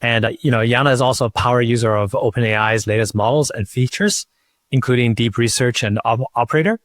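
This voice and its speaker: A man's voice: medium pitch, quick pace, no echo. A man hosting a podcast, speaking as an interviewer and presenter. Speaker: podcast host. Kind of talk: casual interview talk and scripted sponsor read.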